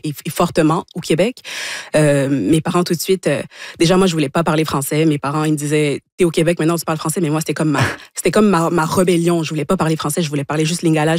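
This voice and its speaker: A woman, a guest in a radio studio who talks in a halting way and often repeats words while she thinks. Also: low-pitched, darkish tone, dry recording. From Congo, raised in Quebec from age five. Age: 20s. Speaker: guest, actress. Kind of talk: casual interview chat.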